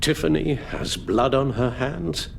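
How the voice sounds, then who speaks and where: An actor playing an elderly British butler in a video game, formal and measured, weary and full of sorrow. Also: male voice, medium pitch, animated, creaky, a little hiss or hum. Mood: weary regret. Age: older. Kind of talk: dramatic video game acting.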